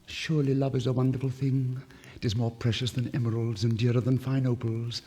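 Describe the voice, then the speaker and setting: A man's voice: medium pitch, level tone, brisk, mellow, breathy edge, natural voice. A man voicing a tender, yearning female nightingale in a fairy tale, with ardent, declamatory 1950s storytelling delivery. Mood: rapturous. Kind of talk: 1950s literary story record acting. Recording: vintage vinyl record, long reverb.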